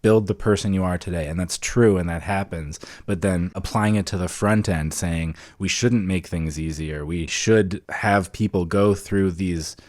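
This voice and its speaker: A man co-hosting a podcast, talking in a reflective, conversational way with earnest, deliberate reasoning. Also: sits low, darkish tone, no echo, studio quality. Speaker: podcast co-host.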